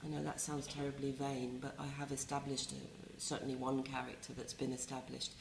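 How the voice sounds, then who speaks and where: A middle-aged English woman, a resident playwright, talking reflectively in a wry, self-mocking way with many pauses and restarts. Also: extremely low pitch, little pitch movement, creaky, reverberant, noisy background. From England. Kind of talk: reflective interview talk.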